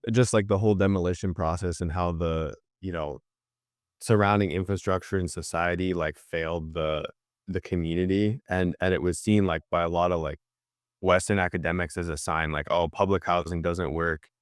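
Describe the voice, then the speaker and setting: Male co-host, low clean voice, casual halting explainer in a dry studio-like sound. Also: steady tone, no echo.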